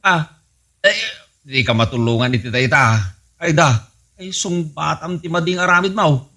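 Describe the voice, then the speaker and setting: A man's voice: a little high, moderate pace, pushed and bright. A man voicing an exasperated husband who scolds his wife. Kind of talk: comedy radio drama acting.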